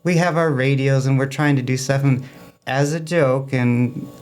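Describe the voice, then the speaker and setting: A man's voice: medium pitch, steady tone, normal speed, darkish tone, clear, resonant tone. A man talking casually as an interview guest for a hobby club, chatty and anecdotal in a rambling way. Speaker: amateur radio club representative, interview guest.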